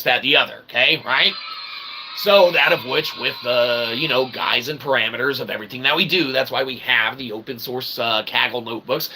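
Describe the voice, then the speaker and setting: A man's voice: mid-range voice, sharp tone, slightly rough, rough recording. A man hosting an improvised livestream, rambling to viewers in wordy, mock-formal roundabout phrases tagged with okay and right, with theatrical playful asides. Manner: often tags sentences with "okay" and "right". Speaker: livestream host.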